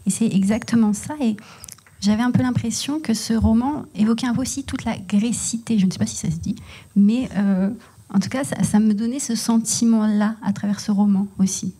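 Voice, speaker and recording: A woman moderating an author panel at a library, asking measured, thoughtful questions with some fillers. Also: medium pitch, mellow, slightly rough. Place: library event room.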